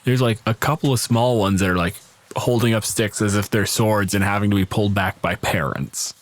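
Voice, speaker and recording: A man's voice: lowish voice, fairly even intonation, a little fast, no echo. A man working as game master, casually improvising narration for a tabletop game.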